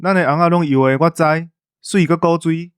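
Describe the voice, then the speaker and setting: Male co-host, Taiwanese accent, high voice, dry close sound, whiny comic banter. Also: steady tone, fairly quick, clear, resonant tone, dry recording.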